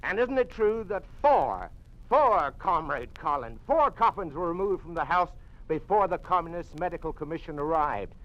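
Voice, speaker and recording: A man's voice: on the high side, lively intonation, moderate pace, fairly bright tone, dull audio. An American man playing a middle-aged doctor in an old radio drama, defiant and accusatory in an emphatic delivery. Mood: defiant accusing. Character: indignant male doctor defiantly challenging communist officials. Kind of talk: 1950s radio drama acting.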